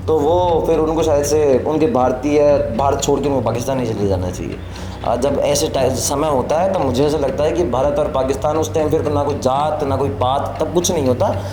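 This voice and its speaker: A man's voice: fairly high pitch, moderate pace, background noise. A man with an Indian accent giving blunt, emphatic opinions in casual chat, often repeating himself. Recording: echoey room with crowd chatter.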